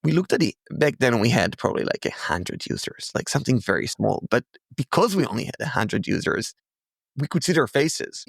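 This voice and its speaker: A man's voice: mid-range voice, creaky, fairly bright tone, dry recording. A man speaking as an interview guest, a startup founder talking in a conversational, storytelling way.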